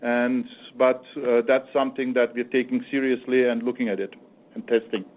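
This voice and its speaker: A man speaking as a chief executive on an earnings call, answering in halting, repetitive phrases. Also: mid-range voice, level tone. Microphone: phone conference call. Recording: thin, narrowband conference phone line.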